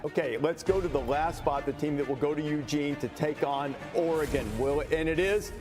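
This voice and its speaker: A man's voice: on the high side, normal speed. A man announcing rankings in a televised broadcast clip. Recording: broadcast clip over music.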